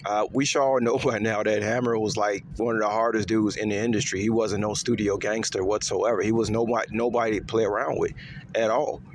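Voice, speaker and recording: A man talking in a casual, rambling monologue to his viewers, repeating himself with lots of fillers and letting his voice swing higher and lower. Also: fairly even intonation, a little fast, slight background noise. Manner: pitch swings up and down. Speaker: vlogger.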